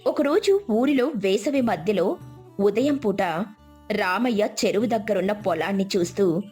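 A woman's voice: mid-range voice, lively intonation, a little slow, darkish tone, soft background music. A woman narrator reading a scripted village moral story.

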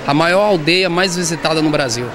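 A high-voiced man answers a reporter, stopping and restarting his words as he goes. He speaks into a handheld microphone inside a shopping mall. Loud background noise runs under his voice the whole time. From southern Bahia, Brazil. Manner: halting, repeats and restarts words. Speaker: artisan interviewee. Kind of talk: street interview. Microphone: handheld reporter mic.

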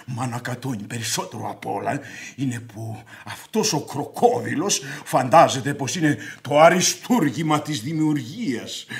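An actor in a radio play playing a hot-tempered, sarcastic middle-aged gentleman creditor who declaims theatrically. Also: medium pitch, natural voice.

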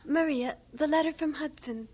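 A woman's voice: sits high, normal speed, darkish tone, lightly acted. A woman playing a kindly, curious married confidante in an audio drama.

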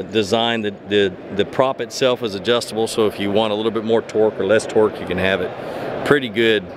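A man in his thirties giving a casual, upbeat walk-and-talk product tour, rambling and restarting his sentences as he goes, recorded on a close camera mic in a large indoor trade show hall. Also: on the low side. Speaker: fishing vlogger. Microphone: camera mic held close while walking. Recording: loud crowded expo hall background noise. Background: loud crowd chatter of a busy convention floor.

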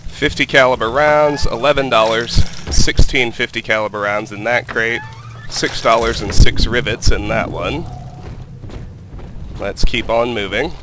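A man hosting a let's play, calmly talking through the game and reading its text aloud, his voice a little weak from a cold. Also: medium pitch, measured pace. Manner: calm, methodical, reads in-game text aloud. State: has a cold, voice slightly weak. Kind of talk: casual gameplay commentary. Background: game music.

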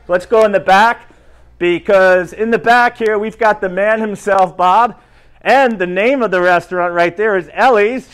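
High-pitched enthusiastic man vlogging. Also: smooth. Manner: enthusiastic, talks in short bursts. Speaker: restaurant review host. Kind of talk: casual walk-through food vlog. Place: inside a diner, moving through rooms.

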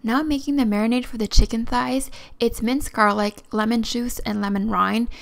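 A woman's voice: a little high, fairly even intonation, normal speed, creaky. A young woman home-making vlogger giving a casual voice-over narration. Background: background music.

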